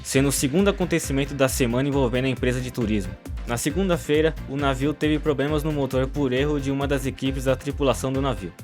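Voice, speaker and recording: A man acts a formal news reporter in an animated short. His voice is a little high, and soft music runs behind the scripted report. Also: lightly acted. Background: faint music.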